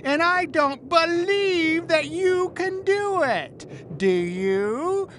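An actor playing a gleeful, menacing old male puppet in a video game, taunting in drawn-out phrases with cackles. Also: very high voice, lively intonation, fairly bright tone, slight background noise, heavily acted. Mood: gleeful menace. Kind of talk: video game villain acting.

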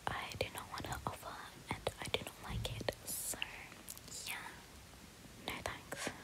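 A woman's voice: unhurried, low-quality recording. A young woman whispering rambling ASMR commentary over gameplay, often repeating words and phrases. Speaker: gaming video creator.

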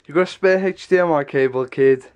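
A man's voice: fairly high pitch, a little fast, slight background noise. A young man with a stuffy, congested nose and sore throat, chatting casually in a vlog and rambling in loose run-on sentences, filmed indoors at home on a handheld camera at arm's length. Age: young adult. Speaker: vlogger. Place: small rooms in a house, indoors.

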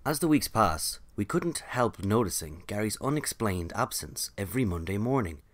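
A man's voice: on the low side, animated, moderate pace. A man hosting and narrating a story podcast, reading from a script.

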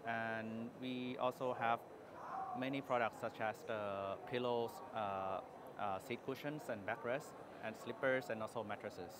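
A man's voice: medium pitch, fairly even intonation, a little slow, noisy background. A man in his thirties with a Thai accent, a company executive answering interview questions at an exhibition booth, hesitating often with fillers and restarts. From Thailand. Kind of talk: trade show interview. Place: exhibition hall booth, indoors.

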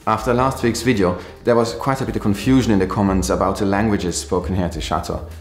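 Low-voiced man from northern Italy. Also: steady tone, quick pace. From northern Italy, German-speaking. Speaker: vlog co-host. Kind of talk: casual talk to camera. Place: indoor room, later outdoors by a harbour. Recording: roomy sound with background music.

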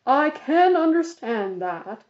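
A woman's voice: sits high, very animated, slow pace, pitched above the performer's own voice, exaggerated voice. A minister father played by a woman reader speaks in a storybook. He is emphatic and matter-of-fact, firm yet sympathetic. The sound is slightly lo-fi. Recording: slightly dull lo-fi recording.

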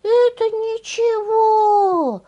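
A woman voicing a poor, patient old peasant man in a folk tale, speaking slowly in a kindly, folksy way. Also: extremely high pitch, dark tone, lo-fi sound, voice pushed up, exaggerated voice. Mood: reassuring.

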